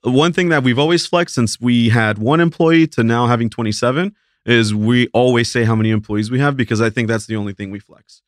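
A man's voice: mid-range voice, brisk, no room sound, clean studio sound. A man from Mexico talking casually as a guest in an interview, easygoing and full of fillers and restarts. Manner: laid-back, filler-heavy, restarts a lot. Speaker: guest, talent agency principal.

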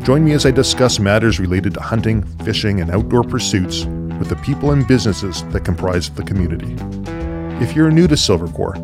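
This is a man presenting a podcast. His voice sits on the low side of the male range. Clear guitar music accompanies him as he hosts. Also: expressive, brisk. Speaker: podcast host. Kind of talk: scripted podcast introduction, then interview.

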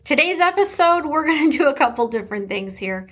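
Middle-aged female podcast host, Detroit Midwest accent, slightly high voice, casual and emphatic. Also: sing-song, fairly quick. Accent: Detroit Midwest American. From Detroit, USA. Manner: warm, chatty, emphatic, with laughs and shout-outs. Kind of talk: casual solo podcast talk.

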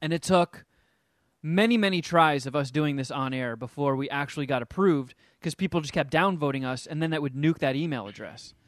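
A man's voice: on the high side, a little fast, no room sound, studio quality. A man hosting a podcast, casually rambling through a story with swearing. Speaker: podcast host.

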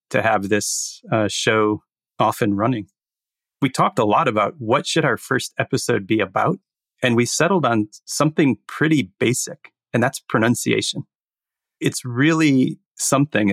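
Low gravelly man, easygoing podcast host. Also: dry recording. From United States. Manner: easygoing, laughs often. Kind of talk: casual podcast chat.

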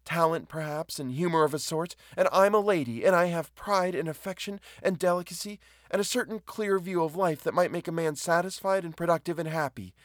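A man's voice: on the high side, fairly quick, hardly any pauses, no echo, clean studio sound, pitched above the performer's own voice, natural voice. A man reading a story as an anxious, proud young woman, pleading and hesitant in manner. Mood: wounded pride. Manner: pleading, hesitant, breaking off mid-sentence. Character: anxious, proud jilted young woman on her wedding day.

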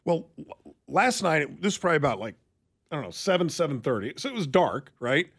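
A man's voice: fairly high pitch, big pitch swings, brisk, creaky, a bit bright, husky. An American man co-hosting a radio show in casual banter, telling stories in a wry, rambling way.

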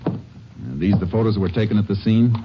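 An actor playing a calm, shrewd Texas Ranger investigator in a 1950s radio drama, talking in a steady, deliberate way. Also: male voice, lowish voice, natural voice. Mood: calm, probing. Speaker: lead actor. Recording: dull old radio recording with faint hiss.

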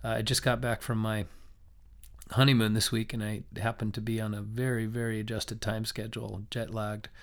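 A young man with a hoarse, raspy voice from a cold, teaching a recorded scripture lesson in a loose, thoughtful way with many restarts. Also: on the low side, level tone, moderate pace.

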